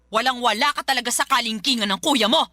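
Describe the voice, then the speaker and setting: A woman playing a harsh, belittling, nagging Filipino mother in a radio drama, with a sharp-tongued, scolding manner. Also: on the high side, fast, no echo, soft background music. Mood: scornful, scolding.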